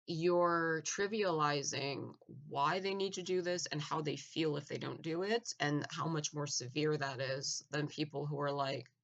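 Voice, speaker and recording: A man giving a blunt, argumentative opinion monologue, full of "like" fillers and restarts, clearing his throat now and then. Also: on the high side, measured pace, few pauses, fairly bright tone, muffled recording. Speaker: opinion vlogger.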